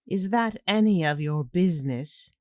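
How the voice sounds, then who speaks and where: A woman's voice: lowish voice, expressive, unhurried, warm tone, no room sound, clean studio sound. A woman playing a proud, troubled royal advisor in a fantasy audio drama, terse and guarded. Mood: guarded, uneasy.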